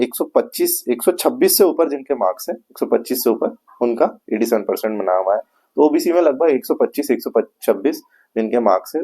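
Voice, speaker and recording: A man's voice: mid-range voice, dark tone. A man with an Indian accent giving an informal explainer, going over figures and repeating them, with English words mixed in. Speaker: physical training academy presenter. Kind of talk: informal explainer to camera for exam aspirants.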